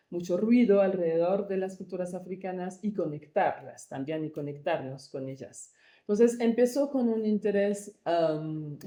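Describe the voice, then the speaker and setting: A woman from Congo speaks in a lowish, mellow voice, weighing her words and pausing with soft fillers as she answers. The room is roomy and echoey around her. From Democratic Republic of the Congo. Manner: thoughtful, searching for words with pauses and fillers. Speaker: arts project co-founder and director. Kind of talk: conversational interview answers.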